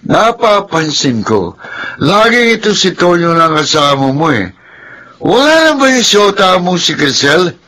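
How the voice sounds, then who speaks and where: A man's voice: sits high, very animated, unhurried, sharp tone, full character voice. An actor playing a drunken, mocking village man in a radio drama, needling others with a slurred drawl. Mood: mocking, amused. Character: drunken, mocking, taunting male village drinker. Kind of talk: Filipino radio drama acting.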